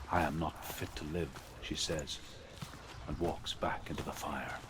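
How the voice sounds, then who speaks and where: An actor playing a wise, grave storyteller of Norse legends in a video game, solemn and measured in dramatic narration. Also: male voice, extremely low pitch, background noise. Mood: grave, hushed. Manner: solemn, measured, hushed at phrase ends.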